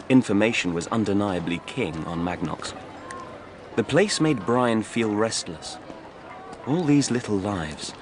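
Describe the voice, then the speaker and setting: A male narrator reads dramatic fiction for an audiobook. His voice is on the low side and moves animatedly up and down. A faint bed of noise runs behind the reading.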